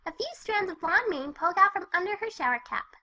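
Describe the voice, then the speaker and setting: Very high, bright, bubbly cartoon pony. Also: female voice, brisk, exaggerated voice. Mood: animated storytelling. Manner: perky, chatty, expressive. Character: bubbly, excitable young female cartoon party pony. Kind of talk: first-person storybook narration with character dialogue.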